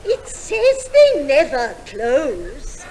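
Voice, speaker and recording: A woman's voice: sits high, huge pitch swings, extremely slow, full character voice. A woman playing a genteel, dithery older lady, polite and earnest, in a 1950s stage comedy sketch. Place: large theatre stage. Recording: very noisy 1950s theatre recording.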